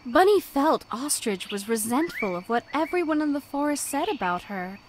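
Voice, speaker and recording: A woman's voice: high-pitched, big pitch swings, moderate pace, a little hiss or hum. A woman reading a scripted children's bedtime story as its narrator.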